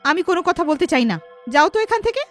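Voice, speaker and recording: A woman voicing a jealous young wife in a story, sharp and complaining. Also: extremely high pitch, very animated, fast talker, faint music behind, voice pushed up, heavily acted. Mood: irritated. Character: jealous, snappish young wife resenting her mother-in-law.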